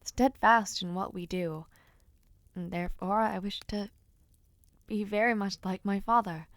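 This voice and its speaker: A woman playing an earnest, affectionate young noblewoman and would-be knight in an intimate audio roleplay, speaking with gently formal, sincere phrasing. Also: mid-range voice, fairly even intonation, a little slow, no echo, lightly acted. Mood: earnest, proud. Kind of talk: audio roleplay, intimate one-sided dialogue to listener.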